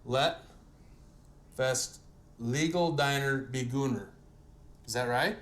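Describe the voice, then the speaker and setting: A man's voice: mid-range voice, takes it slowly, a bit bright. A man, a small-town mayor chatting casually and joking at his own expense. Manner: joking, self-deprecating. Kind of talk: casual chat.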